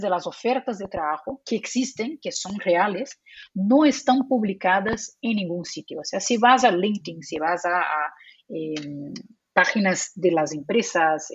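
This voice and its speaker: A woman's voice: mid-range voice, breathy edge, dry recording, muffled recording. A woman from Brazil whose first language is Portuguese, speaking Spanish with a Brazilian Portuguese accent, explaining things as a guest in a casual interview with frequent fillers and easy laughter. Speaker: guest, remote work expert.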